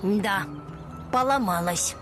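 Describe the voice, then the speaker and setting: A woman voicing a curious, naive, excitable little boy alarm clock in a children's cartoon, full of exclamations and drawn-out words. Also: mid-range voice, music in the background, close to an everyday voice. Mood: puzzled.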